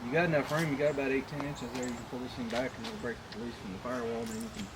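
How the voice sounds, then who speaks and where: A man's voice: mid-range voice, animated, rapid-fire. A man from Arkansas and Oklahoma chatting casually and teasing his friend while they pull an engine in a garage. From Arkansas and Oklahoma, USA. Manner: teasing, joking country talk. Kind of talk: casual chat while working. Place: home garage. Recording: loud shop noise.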